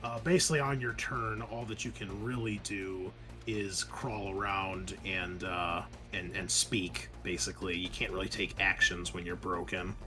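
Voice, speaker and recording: A man's voice: deep voice, flat delivery, normal speed. Man running a tabletop game over music. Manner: informal, swearing, explains rules in asides. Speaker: game master. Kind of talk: narrating a tabletop roleplaying game online. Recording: music playing behind the voice. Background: background music.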